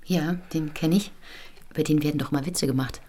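Husky, polite young room-service woman. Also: sits low, steady tone, brisk, warm tone. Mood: polite, curious. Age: young adult. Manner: polite, deferential. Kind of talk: German radio play dialogue.

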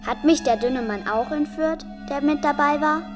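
High-voiced, wary little girl played by a woman. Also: normal speed, music in the background, natural voice. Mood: wary, questioning. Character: abducted eleven-year-old girl, wary but bold and questioning. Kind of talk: German radio drama acting.

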